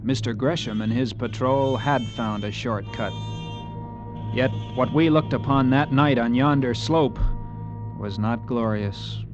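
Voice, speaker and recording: An actor as a dull-sounding old-radio young cavalry lieutenant, brooding. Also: male voice, medium pitch, unhurried, music in the background, close to an everyday voice. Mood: grim, solemn. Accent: American. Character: bitter, eager young cavalry lieutenant narrating his story. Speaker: lieutenant and narrator. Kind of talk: mid-century American radio acting, dramatic narration.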